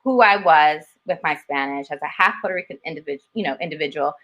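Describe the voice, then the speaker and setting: A woman's voice: sits low, fast talker, muffled recording. A young woman talking as an interview guest, reflective, with many restarts and fillers. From United States. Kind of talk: casual conversation.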